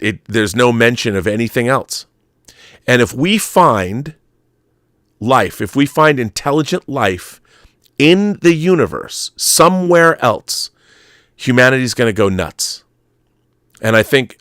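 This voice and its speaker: A low-pitched American man in his fifties talks casually as a show host, close and dry in sound. He wanders into tangents, speaks with emphasis and opinion, and swears freely. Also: a bit bright, no echo. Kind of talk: casual, rambling opinionated live chat answering viewer messages.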